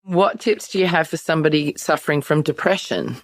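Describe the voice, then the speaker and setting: A woman's voice: low voice, fairly quick, dry recording, studio quality. A woman interviewing a podcast guest in a supportive, affirming, conversational way.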